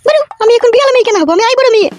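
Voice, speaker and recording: A woman's voice: extremely high pitch, animated, very fast, a little mellow, a little hiss or hum. A woman voicing a timid, anxious young village girlfriend in a comic cartoon, full of worried questions.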